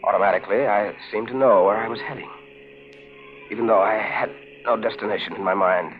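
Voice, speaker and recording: An actor playing a desperate amnesiac fugitive in a 1940s radio thriller, with an urgent, melodramatic delivery. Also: male voice, on the low side, fairly quick, slight background noise, natural voice. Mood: grim, brooding. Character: frantic amnesiac fugitive husband turned killer, narrating his crimes. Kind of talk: 1940s radio thriller acting and first-person narration. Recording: muffled 1940s radio recording with music.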